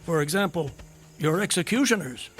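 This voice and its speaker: A man's voice: a little high, lively intonation, moderate pace, a bit bright. An actor playing a courteous, enigmatic traveler in a radio drama, genteel and inquisitive as he draws out another's story. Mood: courteous, probing. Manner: genteel, formal, inquisitive, theatrical. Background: music under the voice.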